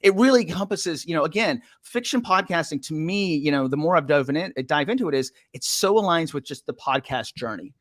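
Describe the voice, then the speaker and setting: A man's voice: a little high, expressive, brisk, hardly any pauses, a bit bright, no room sound, clean studio sound. A middle-aged man hosting a podcast interview, talking enthusiastically with some restarts. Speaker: podcast host.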